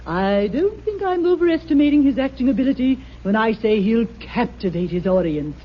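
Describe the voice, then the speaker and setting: Gushing, high-voiced lady schoolteacher. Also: very animated, a little fast, slightly rough. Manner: fluttery, genteel, effusive. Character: gushing, affected female high school English teacher. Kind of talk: 1940s radio comedy acting. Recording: muffled old radio recording, faint noise.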